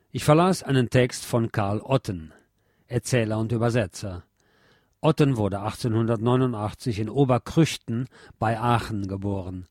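A man reading scripted literary narration as a radio presenter, in a measured, deliberate, declamatory manner. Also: medium pitch, darkish tone, no room sound, clean studio sound.

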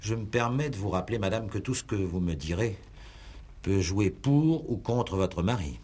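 An actor playing an insistent, exasperated examining magistrate in a 1960s French radio police play, pressing and sarcastic in a formal, theatrical way. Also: male voice, on the low side, animated, normal speed, a little mellow, slightly rough.

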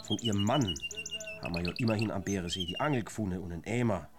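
An actor playing a male police investigator in a radio drama, halting and officious as he speculates. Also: sits low, music in the background, lightly acted. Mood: matter-of-fact.

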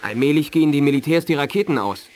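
An actor playing an earnest, doubtful, deferential military captain in a science fiction radio drama. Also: male voice, fairly high pitch, fast talker, darkish tone, lightly acted.

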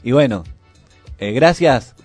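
A man co-hosting a radio show with halting, informal chat. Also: mid-range voice, expressive, darkish tone, faint music behind. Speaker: radio co-host. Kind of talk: casual live radio chat. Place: radio studio.

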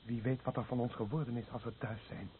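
A man's voice: mid-range voice, fairly even intonation, quick pace, lightly acted. An actor playing an anxious, pleading and fatalistic fisherman, worn out, in a radio play. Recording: muffled, noisy old radio play recording.

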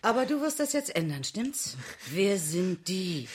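An actress playing a tough female cop in a radio drama, dry, sarcastic and threatening, with a husky voice. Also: medium pitch, very animated, measured pace. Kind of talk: German radio drama acting.

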